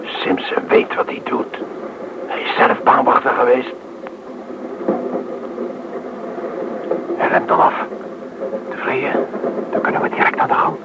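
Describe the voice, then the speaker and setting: An actor as a gravelly, brusque train robber. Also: male voice, medium pitch, monotone, moderate pace, long pauses, close to an everyday voice. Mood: tense. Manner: curt, commanding. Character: brusque, bossy criminal gang member holding up a train. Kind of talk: radio drama acting. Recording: noisy old radio play recording.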